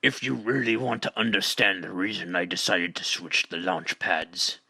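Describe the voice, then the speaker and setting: A man's voice: low voice, steady tone, moderate pace, creaky, bright, forceful tone, slightly rough, full character voice. A man playing a pompous, secretive older space center director in a video game fandub, speaking in a theatrical, declamatory way. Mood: grave. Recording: amateur recording with music.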